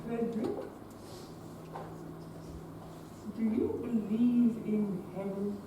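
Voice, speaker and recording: A young man acting a gentle, deformed Victorian man who asks earnest, searching questions on stage. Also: very high-pitched, highs cut off, exaggerated voice. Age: young adult. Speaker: stage actor. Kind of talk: live stage play acting. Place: theatre stage. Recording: echoey stage recording from far off, noisy.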